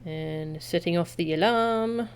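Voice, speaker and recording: A woman's voice: on the low side, takes it slowly, clear, resonant tone. A woman streaming a video game, chatting casually and trailing off and restarting as she thinks aloud. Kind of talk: casual chat while playing and reading game text aloud.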